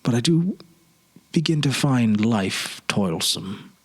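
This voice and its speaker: A man playing a weary, haunted politician of forty in a storybook reading, confessing his regrets in a halting, sorrowful, dramatic way. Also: mid-range voice, expressive, breathy edge. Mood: weary, wistful. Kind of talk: dramatic literary audiobook reading.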